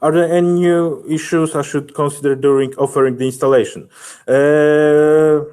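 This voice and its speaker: A man presenting a technical webinar and answering questions in halting, hesitant English, often searching for words. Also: fairly high pitch, little pitch movement, mellow, clean tone.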